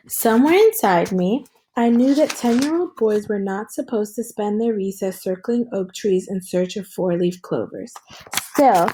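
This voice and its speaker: Woman teacher doing a read aloud, medium pitch, talks it through like a lesson for students. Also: dark tone, poor audio. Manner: instructional, addresses listeners with check-in questions.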